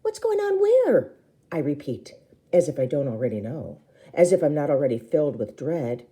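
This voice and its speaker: A woman reads a children's story aloud in a very soft-edged, dark voice. Her pitch sits a little low but moves around a lot. She is theatrical and acts out the dialogue as she goes. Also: lively intonation, a little fast. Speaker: storybook reader. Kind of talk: expressive children's book narration.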